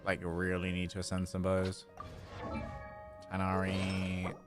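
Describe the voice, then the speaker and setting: A man's voice: deep voice, monotone, slow pace. A man giving casual live gaming commentary, muttering asides to himself and to his chat. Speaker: video game livestreamer. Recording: faint game music behind.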